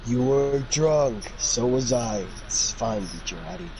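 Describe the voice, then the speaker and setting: A young amateur reader voicing a stoic grown man from an anime fan story, deliberate and mock-serious. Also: mid-range voice, animated, a crowd in the background, voice pushed down. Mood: subdued, reassuring. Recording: noisy home recording.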